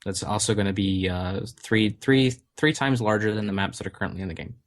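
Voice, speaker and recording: A man with a lowish voice talks through his answers in a casual interview. He pauses often and stacks up fillers and restarts as he explains. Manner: hesitant, many fillers and restarts. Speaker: game studio community manager.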